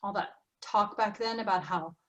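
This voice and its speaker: A woman's voice: medium pitch, moderate pace, fairly bright tone, dull audio, natural voice. An actress in a staged reading playing a fussy, worried grandmother over sixty. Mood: wistful. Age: older. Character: fretful grandmother, sharp and worried. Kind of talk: virtual staged play reading.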